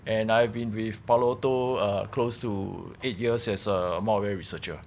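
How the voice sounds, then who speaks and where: A man giving a technical workshop presentation, explaining in a halting way and repeating words as he goes. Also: lowish voice, fairly even intonation, gravelly, darkish tone, a little hiss or hum. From Singapore. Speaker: workshop presenter, malware researcher.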